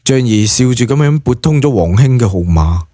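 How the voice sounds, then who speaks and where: A man's voice: mid-range voice, lively intonation, moderate pace, warm tone, no room sound, studio quality. A man with a Hong Kong Cantonese accent narrating a serialised novel in a dramatised audiobook style.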